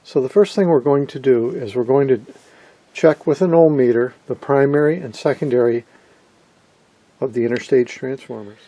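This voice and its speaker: Middle-aged male hobbyist presenter, very warm and soft-edged with a gravelly creak, matter-of-fact workshop demo. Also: mid-range voice, moderate pace, dry recording, rough recording. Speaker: vintage radio hobbyist presenter. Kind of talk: casual hands-on demonstration narration. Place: indoor workshop, off camera at a workbench.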